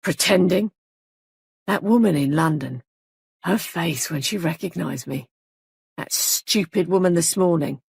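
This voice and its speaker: A mad young killer whose voice turns childlike, menacing and giddy by turns, as a woman reads him. The tone is gravelly and husky, close and dry. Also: on the low side, unhurried, long pauses, a little mellow, no room sound, full character voice. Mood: gleeful.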